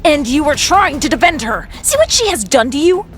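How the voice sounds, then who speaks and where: An actress playing a vain, hot-tempered, scheming young model in an audio drama. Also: female voice, sits high, big pitch swings, brisk. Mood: furious, panicked.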